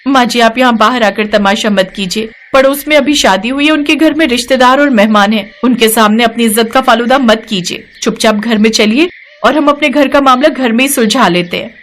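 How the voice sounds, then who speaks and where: A woman's voice: fairly high pitch, a little fast, a bit bright, lightly acted. A woman voicing a meek, put-upon young daughter-in-law who pleads earnestly in a moral story. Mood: pleading.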